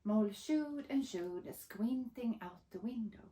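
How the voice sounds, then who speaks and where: A woman, reading a picture book aloud as expressive storybook narration. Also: a little high, unhurried, reverberant. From Sweden. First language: Swedish.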